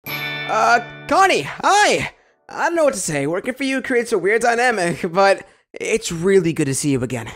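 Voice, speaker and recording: A man's voice: high voice, very animated, normal speed, fairly bright tone, faint music behind, exaggerated voice. A man voicing a friendly, anxious teenage boy in a fan cartoon, hesitant and earnest. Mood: awkward, earnest.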